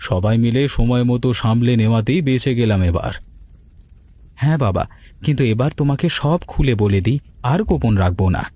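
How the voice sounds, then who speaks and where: A man's voice: medium pitch, brisk, warm tone, no room sound, lightly acted. An actor voicing an earnest, sentimental man from a village sweet-shop family in a cartoon. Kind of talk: Bengali cartoon dubbing. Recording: clear music bed behind the voice.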